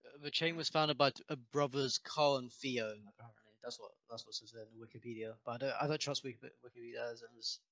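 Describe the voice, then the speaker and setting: A man's voice: mid-range voice, fast talker, few pauses, fairly bright tone, no echo, poor audio. A man chatting offhand as a co-host, hedging what he says. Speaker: co-host on a stream. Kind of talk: casual chat.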